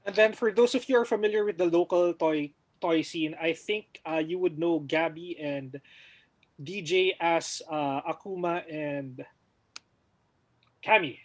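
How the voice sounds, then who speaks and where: A man's voice: fairly high pitch, a little slow, no room sound, poor audio. Eager man hosting a livestream. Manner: hesitant, many filler uhs. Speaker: talk show host. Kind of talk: casual livestream talk show hosting.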